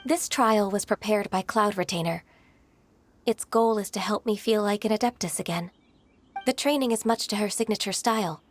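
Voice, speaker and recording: A woman playing a gentle, reserved young half-human immortal in a video game, polite and earnest. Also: medium pitch, moderate pace, close to an everyday voice. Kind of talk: video game character acting.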